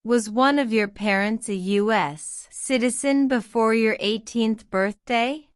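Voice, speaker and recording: Slow, clear woman reading practice questions. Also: on the high side, no echo, studio quality. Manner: reads each line twice, slow and deliberate. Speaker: citizenship test practice narrator. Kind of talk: slow repeated question-and-answer drill.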